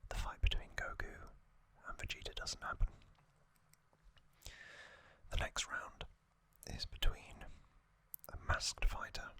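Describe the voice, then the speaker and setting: Slow, breathy ASMR whisperer. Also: pushed and bright. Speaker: host of an ASMR channel.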